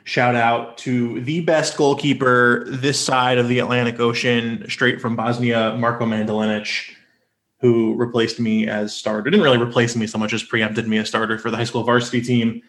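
A man's voice: medium pitch, level tone, moderate pace, fairly bright tone. A man hosting a podcast, asking questions in a chatty way with playful asides. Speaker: podcast host. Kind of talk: casual interview. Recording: echoey room.

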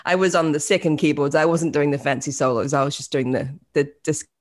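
A woman's voice: low-pitched, steady tone, quick pace. A New Zealand woman in her thirties, a musician chatting casually in an interview over a video call, self-deprecating and full of fillers. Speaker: guest musician.

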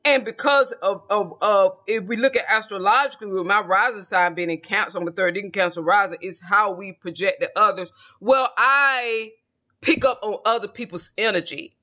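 A man's voice: sits high, normal speed, pushed and bright. A man in his late forties, a tarot video creator, talking in a self-assured, rambling solo monologue that keeps circling back to the same points. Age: 40s. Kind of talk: casual solo monologue to camera.

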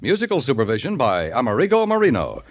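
A man's voice: medium pitch, wildly swooping pitch, fast talker. A man announcing scripted credits in the style of 1950s radio.

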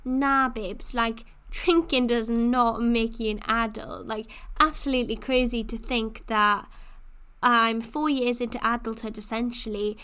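A woman's voice: on the high side, steady tone, a little slow, sharp tone, clean tone. A woman, hosting a casual solo podcast and chatting freely, with plenty of "like" and "you know.". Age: 20s. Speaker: podcast host.

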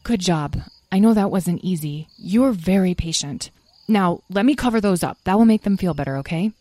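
A woman's voice: on the low side, expressive, normal speed, mellow, no echo. A woman voicing an earnest, kind-hearted young stable boy in a storybook, stammering and tearful. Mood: gentle. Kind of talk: storybook character voice.

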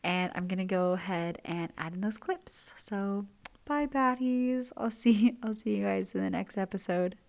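A woman's voice: mid-range voice, moderate pace, creaky, darkish tone. A young woman hosting a podcast, talking casually and rambling with lots of fillers. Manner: chatty, rambling with many fillers. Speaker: podcast host.

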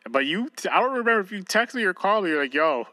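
A man with a bright, forceful voice recorded close and dry, co-hosting a podcast in loose, joking banter. He talks casually and swears freely. He moves between lists and anecdotes in a relaxed, profane way. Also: sits high, rapid-fire, no room sound. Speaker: podcast co-host. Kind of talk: casual chat.

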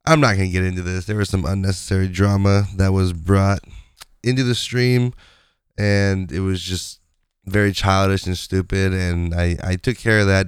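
A man with a low, raspy voice from a sinus infection, chatting casually on his podcast. He rambles along with plenty of fillers. The sound is close and dry, with no room around it. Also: dry recording. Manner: rambling, conversational, many fillers. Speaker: podcast host. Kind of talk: casual solo podcast chat.

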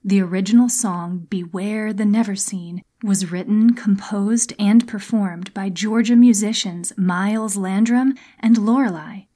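A woman narrates a scripted audio drama. Her voice is soft and mellow, at a middle pitch. She takes her time with each phrase. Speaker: narrator, writer and producer.

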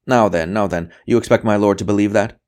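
A man's voice: on the low side, fairly quick, pitched above the performer's own voice, lightly acted. Relentless interrogator for a storybook, acted by a man: clipped, insistent, coolly impatient official. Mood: pressing, stern. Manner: forceful, clipped, pressing questions.

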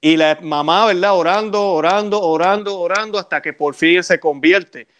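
A man's voice: high voice, moderate pace, a bit bright. A man hosting an interview, explaining a point persuasively and often checking in with short tag questions. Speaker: interview host. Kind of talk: conversational interview, faith talk.

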